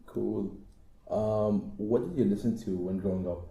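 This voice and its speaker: A man's voice: fairly low pitch, steady tone, a little slow, gravelly, dark tone, echoey room. A young man, a student interviewer, reading out short prepared questions and giving brief reactions.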